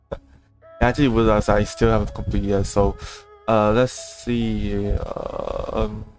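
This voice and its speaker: A man's voice: on the low side, slow pace, gravelly, a little mellow. Casual male game streamer over music. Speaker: game livestreamer. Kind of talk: casual live gameplay commentary. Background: video game music.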